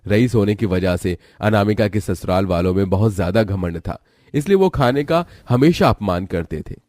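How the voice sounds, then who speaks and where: A male narrator telling a scripted story at an ordinary mid pitch. His intonation is animated, rising and falling widely, and the recording is close and dry. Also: moderate pace, no room sound.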